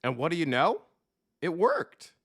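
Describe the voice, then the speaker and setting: A man narrating a children's picture book in an expressive, emphatic storytelling style. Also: on the high side, unhurried, husky. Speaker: storybook reader, coach.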